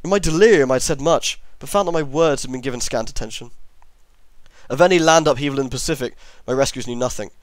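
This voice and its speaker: A man's voice: mid-range voice, quick pace, no room sound. A man narrating a horror story as an amateur reader, now and then stumbling over long words and correcting himself.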